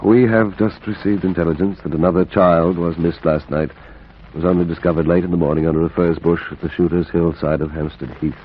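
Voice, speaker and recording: An actor playing an earnest, troubled, sceptical gentleman doctor, formal yet emotional, in 1940s radio horror style. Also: deep voice, flat delivery, a little fast, close to an everyday voice. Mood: distressed. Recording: muffled, faintly hissy old radio broadcast.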